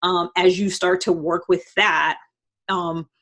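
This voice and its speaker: A woman's voice: on the low side, little pitch movement, slow, fairly bright tone. Woman host chatting casually about advice, emphatic, kinda acts out what people tell themselves. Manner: conversational, emphatic, acts out imagined self-talk. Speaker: podcast host giving self-improvement advice. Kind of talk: casual motivational talk.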